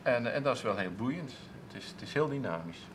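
A man's voice: medium pitch, expressive, a little fast, slight background noise. A man in his thirties, a council clerk, answering interview questions in a TV studio with many restarts and fillers. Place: TV studio with seated audience, at a table.

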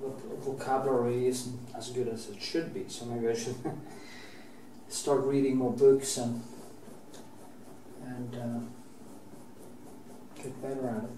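A Norwegian man in his forties with a Norwegian accent, teaching oil painting in a loose, halting way as he works, stopping and restarting his sentences and swearing now and then. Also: mid-range voice, level tone, warm tone, reverberant, recorded from a distance, slight background noise, low-quality recording. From Norway. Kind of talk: casual painting demonstration. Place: art studio, indoors.

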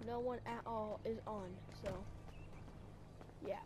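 Sleepy sniffly girl rambling over her game, kinda high voice, loud noisy background. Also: steady tone, takes it slowly. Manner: low-energy, sleepy, rambling. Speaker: gameplay streamer. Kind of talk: casual gameplay commentary. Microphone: new desk mic.

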